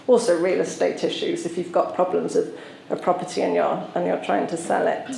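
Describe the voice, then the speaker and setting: A woman from South Wales with a Welsh accent, giving a conference talk as a solicitor and explaining with frequent pauses. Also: on the low side, little pitch movement, gravelly, a little mellow, reverberant, slight background noise, poor audio. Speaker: tree law solicitor presenting. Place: conference venue.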